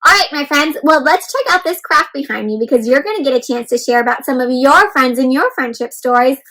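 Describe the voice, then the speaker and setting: A woman hosting an interactive picture book read-aloud for young children, talking in an animated way and often putting questions to her listeners as friends. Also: sits high, fairly quick, rough recording.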